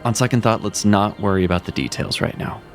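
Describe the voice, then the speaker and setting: A cryptic, teasing mage mentor, acted by a man in a fantasy audio drama. His voice sits low with a gravelly edge, and he keeps an easygoing, chuckling manner. Faint music plays underneath. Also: quick pace, natural voice. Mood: reassuring.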